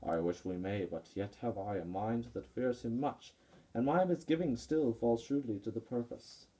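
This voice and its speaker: A man's voice: mid-range voice, moderate pace, warm tone, natural voice, poor audio. A man playing a wary, mistrustful Roman conspirator in a puppet-show Shakespeare, speaking tersely and suspiciously in verse. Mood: misgiving.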